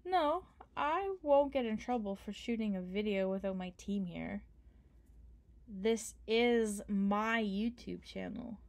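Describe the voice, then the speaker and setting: A woman's voice: mid-range voice, a little mellow. A young woman doing an ASMR roleplay as a partner, playful, affectionate and teasing. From Canada. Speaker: ASMR performer.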